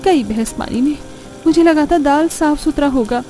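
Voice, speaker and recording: Woman playing a flustered, high-voiced young daughter-in-law. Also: normal speed, mellow, soft background music, lightly acted. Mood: grumbling. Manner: dramatic, complaining. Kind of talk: Hindi cartoon story acting.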